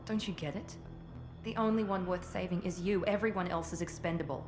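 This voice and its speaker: An actress voices a self-assured covert operative in a video game cutscene. She has a low female voice and speaks in a haughty, businesslike, clipped way. Music is clear behind her. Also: a little fast, close to an everyday voice. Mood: cool, blunt.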